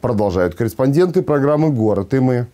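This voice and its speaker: A man's voice: mid-range voice, expressive, brisk, dark tone. A man in his thirties presenting scripted satirical news in a sardonic manner. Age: 30s. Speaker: news programme host. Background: music bed.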